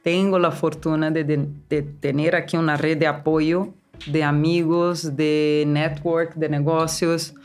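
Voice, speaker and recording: A woman from Brazil, a businesswoman guest in a casual interview, talking in an animated, chatty way with frequent restarts. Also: low voice, unhurried, hardly any pauses, faint music behind. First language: Portuguese.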